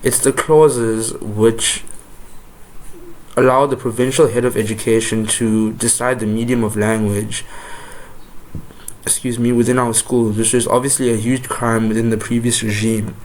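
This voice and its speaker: A man's voice: medium pitch, steady tone, a little slow, a little mellow, rough recording. A man with a South African accent delivering an opinionated political monologue, heated and profane, often stopping and restarting his sentences. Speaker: political commentator.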